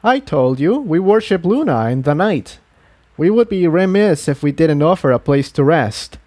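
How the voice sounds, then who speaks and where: A man's voice: a little high, expressive, normal speed, darkish tone, voice pushed up, close to an everyday voice. A man voicing a devout, courteous pegasus townsman who explains things earnestly and formally in a fantasy audiobook. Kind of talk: acted dialogue in fan fiction audiobook.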